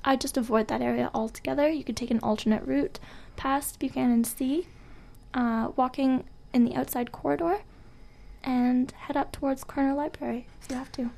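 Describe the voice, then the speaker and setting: A woman co-hosting a casual radio show with deadpan, mock-serious humor. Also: high voice, normal speed, vocal fry.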